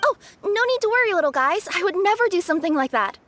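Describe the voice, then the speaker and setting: A woman voicing a young, bubbly, easily flustered cat spirit courier in a video game, very expressive. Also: very high-pitched, quick pace, a little hiss or hum, full character voice. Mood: cheerful. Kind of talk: English-dub video game cutscene acting.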